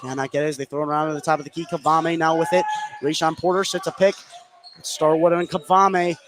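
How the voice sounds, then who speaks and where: High-voiced man calling live basketball. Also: level tone, quick pace. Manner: narrates the action continuously, voice rising on big plays. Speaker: play-by-play sports commentator. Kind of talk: live basketball radio play-by-play. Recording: noisy live gym broadcast.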